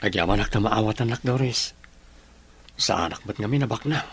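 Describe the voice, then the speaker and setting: Husky, earnest young boyfriend played by a man. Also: on the low side, animated, brisk, fairly bright tone, exaggerated voice. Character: wounded, protective young man in love, wary of his parents. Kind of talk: radio drama acting.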